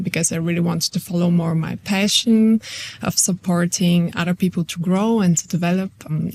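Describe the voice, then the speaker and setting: A woman in her forties from Bulgaria with a Bulgarian accent, an intercultural coach who pauses to search for words in a podcast interview. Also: fairly low pitch, darkish tone.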